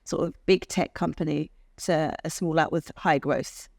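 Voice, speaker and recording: Woman hosting a podcast, chatty and upbeat, slightly low voice. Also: fairly even intonation, normal speed, vocal fry, darkish tone. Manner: upbeat and encouraging, frequent backchannels. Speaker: podcast host. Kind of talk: casual interview chat.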